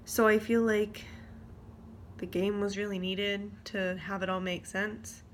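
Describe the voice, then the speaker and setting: A woman's voice: mid-range voice, level tone, a little slow. A young adult woman giving casual vlog commentary, hesitant and informal, often trailing off mid-thought. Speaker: vlogger. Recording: noticeable background noise.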